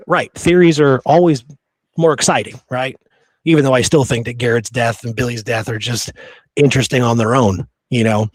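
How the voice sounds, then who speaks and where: A man's voice: on the high side, no room sound. A man hosting a podcast, discussing history in a casual, conversational way with blunt, opinionated remarks. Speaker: podcast host.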